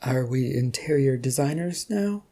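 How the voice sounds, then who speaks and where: Young girlfriend character acted by a story reader: inquisitive, direct, doubtful, slightly gravelly. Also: mid-range voice, lively intonation, a little slow, dark tone. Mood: curious, blunt. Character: young woman, curious, sceptical, blunt girlfriend.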